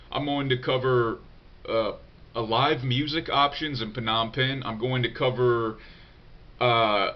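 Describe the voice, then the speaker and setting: A man's voice: medium pitch, muffled recording. Stuffy-nosed young man, casual and profane. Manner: loose and profane, many fillers and restarts. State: congested, nasal from a cold. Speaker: vlogger answering viewer questions. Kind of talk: casual unscripted vlog talk.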